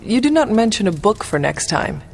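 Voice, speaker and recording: A man's voice: sits high, very animated, normal speed, creaky, soft background music, heavily acted. A man acting as an earnest, literal-minded young hero in a video game cutscene, with precise, formal speech.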